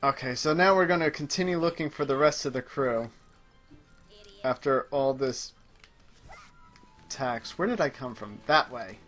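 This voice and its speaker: A man's voice: medium pitch, measured pace, pauses often, fairly bright tone, faint music behind. A man giving casual let's play commentary, exclaiming at the game as he plays it. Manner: exclaims at the game while playing. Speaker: player in a gaming show.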